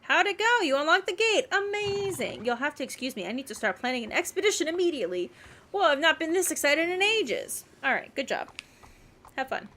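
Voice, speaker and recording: A woman's voice: sits high, big pitch swings, fairly quick, sharp tone, pitched above the performer's own voice, exaggerated voice. A woman voicing an eager, gushing quest-giver while reading horse game dialogue aloud. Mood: thrilled, eager.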